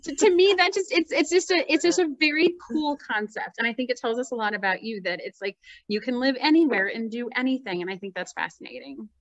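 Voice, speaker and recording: Bright-voiced woman host on lo-fi call. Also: a little high, animated, fast, hardly any pauses, dry recording. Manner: upbeat, enthusiastic, laughs along. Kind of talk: casual interview chat. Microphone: video call webcam. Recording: dry, dull lo-fi video call audio.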